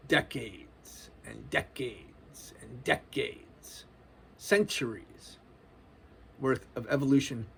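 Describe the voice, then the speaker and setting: A man's voice: mid-range voice, vocal fry, slight background noise. A man in his forties giving a loose, earnest spiritual monologue, casual and full of slang. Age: 40s. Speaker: spiritual vlogger.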